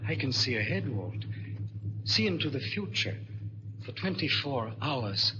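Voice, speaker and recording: A man's voice: medium pitch, big pitch swings, unhurried. An actor playing an anxious, polite, otherworldly man on an urgent mission in a 1940s radio drama, earnest and hesitant. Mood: solemn. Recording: noisy, dull 1940s radio recording.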